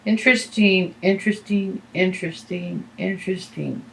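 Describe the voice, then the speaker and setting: A woman giving a tarot reading, losing her voice, talking in a halting way with many fillers and restarts. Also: mid-range voice, fairly even intonation, vocal fry, fairly bright tone, slight background noise, poor audio. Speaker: tarot reader.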